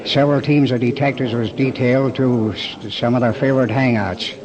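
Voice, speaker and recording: A man with a mid-range voice tells his account plainly, as a police detective. His delivery halts now and then. The old recording is dull, with people clearly audible behind him. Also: mid-range voice, fairly even intonation, fairly quick, crowd noise. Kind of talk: plain first-person account for radio.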